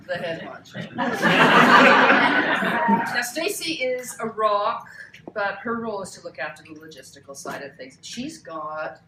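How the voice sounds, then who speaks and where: A woman playing a loud, bossy older TV producer, self-important and brisk, at a live table read. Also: mid-range voice, expressive, natural voice. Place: cramped bookstore, live reading without microphone. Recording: echoey live room, distant mic, faint noise.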